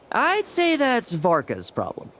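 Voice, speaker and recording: A woman's voice: high-pitched, a bit bright, slight background noise, heavily acted. A woman voicing a sly adventurer in a video game, with a teasing, flippant manner of speaking.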